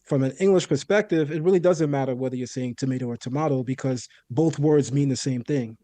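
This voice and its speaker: A man's voice: medium pitch, fairly quick, dark tone, no echo. A man raised in a Caribbean home, explaining a point of language in a methodical, lecturing way and often checking in with "right?". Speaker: guest debater. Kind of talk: explanatory debate on Hebrew.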